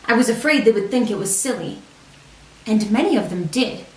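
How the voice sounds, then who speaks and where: A woman reading a picture book aloud in an expressive, deliberate storytelling manner for children. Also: on the high side. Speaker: storybook reader, teacher. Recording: roomy echo, distant microphone.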